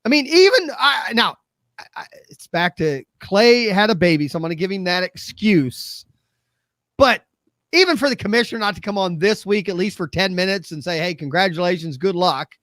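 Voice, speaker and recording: High-pitched man in his fifties, podcasting. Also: lively intonation, moderate pace, a bit bright, no echo, clean studio sound. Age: 50s. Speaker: podcast host. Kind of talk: casual chat.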